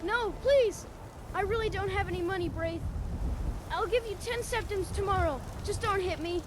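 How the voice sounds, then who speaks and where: High, meek little boy. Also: normal speed, noisy background. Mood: frightened, pleading. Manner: childlike, meek. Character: timid picked-on little boy in a fantasy town. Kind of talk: video game character dialogue.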